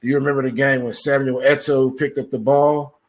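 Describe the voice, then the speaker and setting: A man speaking as an interview guest, explaining in a measured, analytical way with examples. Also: medium pitch, level tone. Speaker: podcast guest, commentator.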